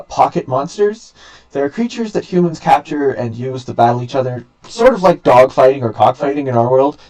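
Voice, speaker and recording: A man's voice: mid-range voice, a little mellow, far from the mic, rough recording. A young man giving an academic student presentation in an informal, somewhat hesitant explaining manner. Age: young adult.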